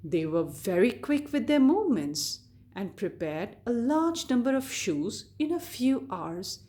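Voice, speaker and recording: Slow, expressive woman reading to children. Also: on the high side. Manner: deliberate, expressive read-aloud delivery addressed to children. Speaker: children's storyteller. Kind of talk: scripted fairy tale narration for kids.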